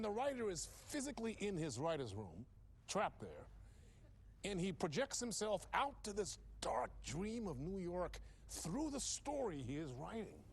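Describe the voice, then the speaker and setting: An actor playing an exuberant, slick late-night TV host in a video game, bantering with showy, effusive chattiness. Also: male voice, high voice, creaky, breathy edge, full character voice. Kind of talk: theatrical talk show interview banter.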